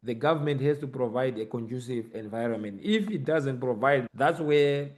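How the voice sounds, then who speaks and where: A man's voice: mid-range voice, moderate pace, a little mellow. A man with a Zimbabwean accent hosting a conversational podcast discussion about everyday matters. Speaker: host.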